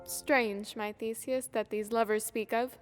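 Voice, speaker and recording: A woman's voice: fairly high pitch, steady tone, a little slow, loud music behind the voice, natural voice. A woman playing a noble, thoughtful ducal bride, poised and formal, in a theatrical Shakespearean audio drama. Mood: wondering. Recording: long reverberant hall echo.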